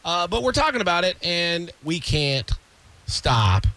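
A man's voice: high voice, sing-song, a little slow, pushed and bright, low-quality recording. A male radio host chatting casually and jokingly with his co-host in the studio, rambling from one aside to the next.

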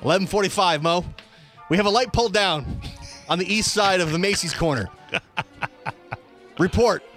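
A man's voice: sits high, moderate pace, a bit bright. A man hosting a talk show, reading out quoted reports aloud in an emphatic, announcer-like way. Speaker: radio show host. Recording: music bed behind the voice. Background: music.

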